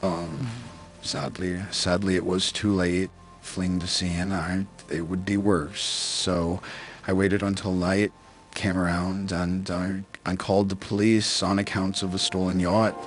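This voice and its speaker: A man playing a guilt-ridden, middle-aged rich businessman in a dramatic roleplay, speaking haltingly and repeating his words. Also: low voice, faint music behind. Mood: regretful, shaken.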